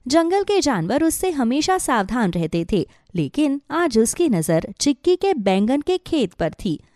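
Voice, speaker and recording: A woman's voice: high voice, very animated, measured pace, darkish tone, dry recording, studio quality. A woman narrating a scripted children's animal story.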